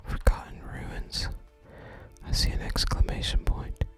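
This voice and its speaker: Someone whispers softly and gently through an ASMR video game playthrough, making unhurried remarks. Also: soft background music. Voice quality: unvoiced whisper. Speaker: game streamer.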